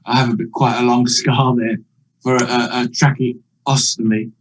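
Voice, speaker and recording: A man in his seventies with a husky voice, a retired pastor speaking haltingly in a news interview. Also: medium pitch, level tone, low-quality recording. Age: 70s. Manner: halting, restarts words.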